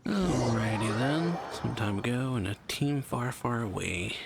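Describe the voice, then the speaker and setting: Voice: medium pitch, expressive, measured pace, vocal fry, very noisy. A streamer giving casual gameplay commentary, often muttering and trailing off. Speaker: streamer reacting to game.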